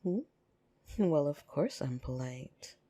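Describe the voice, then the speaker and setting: A voice actor playing a flirtatious, possessive upper-class vampire in an intimate ASMR roleplay, teasing and soft-spoken with breathy whispers. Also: mid-range voice, expressive, takes it slowly. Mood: playful, flirtatious. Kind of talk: ASMR roleplay, intimate second-person monologue.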